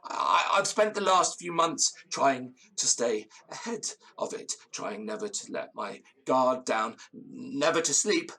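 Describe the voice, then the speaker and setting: An actor playing a haunted, frightened man, telling his tale in halting, theatrical, literary phrases for a gothic audio drama. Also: mid-range voice, expressive, sharp tone, husky, full character voice. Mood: troubled, desperate. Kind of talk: gothic audio drama, first-person storytelling.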